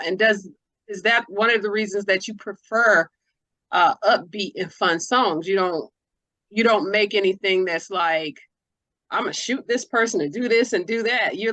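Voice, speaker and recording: A woman's voice: mid-range voice, fairly bright tone, no room sound. A woman hosting a casual interview, talking in an encouraging, slangy, conversational way. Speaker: interviewer, host.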